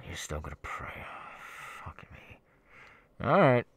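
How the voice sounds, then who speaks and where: A man's voice: on the low side, huge pitch swings, measured pace, noisy background. A man playing a smug, sadistic masked killer in an ASMR audio roleplay, taunting in a menacingly casual, mocking way. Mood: scornful. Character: sadistic masked young male killer, smug, gleeful cult henchman.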